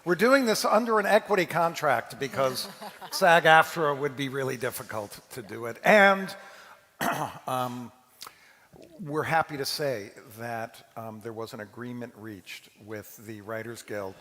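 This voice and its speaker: A man speaking as a rabbi, informally introducing a dramatic reading to a congregation in a halting, conversational way. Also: medium pitch, expressive, fairly bright tone. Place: large reverberant hall, amplified to an audience. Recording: long hall reverb.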